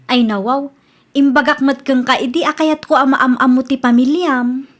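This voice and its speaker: A woman's voice: high voice, steady tone, normal speed, natural voice. A woman playing a choosy, trusting but demanding young sweetheart in an Ilocano radio comedy drama, with an Ilocano accent. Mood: firm, coy.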